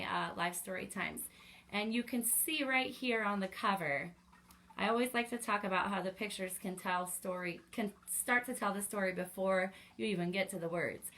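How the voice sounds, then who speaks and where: A woman's voice: on the high side, sharp tone. A woman hosting a library story time, reading aloud and chatting directly to young children with frequent exclamations.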